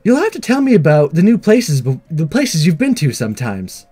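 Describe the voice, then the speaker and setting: A man plays a chirpy high school girl from a visual novel. The voice is pitched high and swings up and down with eager friendliness, over background music. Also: wildly swooping pitch, normal speed, warm tone, slightly rough, exaggerated voice. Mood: cheerful, friendly. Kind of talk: visual novel character read aloud.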